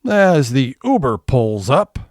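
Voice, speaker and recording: A man's voice: medium pitch, sing-song, slow, a little mellow, no room sound, studio quality, soft background music. A man narrating a scripted comedy audio play, setting each scene as storyteller.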